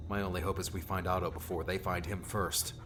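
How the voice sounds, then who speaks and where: A man's voice: sits low, little pitch movement, a little fast, background noise, lightly acted. A man voicing an earnest, resolute young hero who takes charge in an audiobook. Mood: determined. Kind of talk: acted audiobook dialogue.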